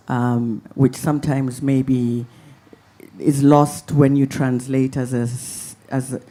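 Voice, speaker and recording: A man moderating a festival Q&A, a playwright himself, speaking in a measured, gently wry way. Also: medium pitch. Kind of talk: reflective panel talk.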